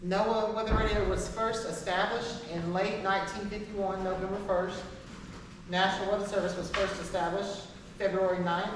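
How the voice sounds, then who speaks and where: Woman, amateur presenter, halting read-aloud, heavy echo and clear background noise. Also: mid-range voice. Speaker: presenter giving a class. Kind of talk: informal presentation read from a paper. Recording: echoey hall from far off, noisy.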